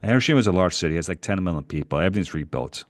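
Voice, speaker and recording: A middle-aged man from Canada with a low, deep voice tells a long, animated story on a podcast. He rambles and chuckles as he goes. The sound is dry and close, with no room echo. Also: very fast. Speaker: podcast co-host. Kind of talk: casual chat, travel storytelling.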